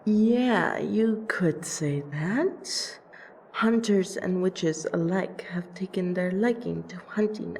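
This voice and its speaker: A woman voicing a proud, protective female vampire princess in an audio roleplay, with wry, deliberate, theatrical phrasing. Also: fairly low pitch, creaky, darkish tone, slight background noise, exaggerated voice, rough recording. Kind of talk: ASMR audio roleplay acting.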